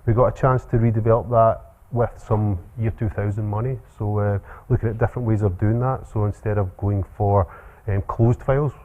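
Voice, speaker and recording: Scottish man, low voice, relaxed lecturer, ums and uhs, thin lo-fi sound. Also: a little fast. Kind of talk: academic seminar talk. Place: seminar room. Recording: tinny phone-like sound.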